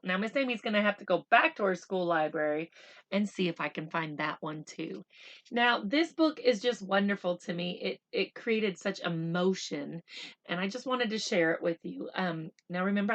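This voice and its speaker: A woman reading a picture book aloud like a teacher, slow and expressive in the story, chattier when she stops to explain words simply for children. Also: mid-range voice, muffled recording. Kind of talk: read-aloud storytelling with casual explanatory asides.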